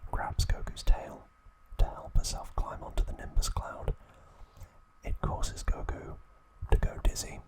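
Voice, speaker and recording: A man narrating scripted trivia as an ASMR host in a soft, breathy, unhurried whisper. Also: poor audio.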